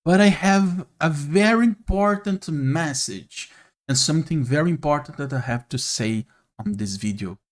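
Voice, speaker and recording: A man from Brazil with a Brazilian Portuguese accent, a non-native English speaker, hosting an unscripted monologue straight to camera, earnest and slow with frequent pauses. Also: on the high side. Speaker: YouTube channel host. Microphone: camera mic, speaking to camera.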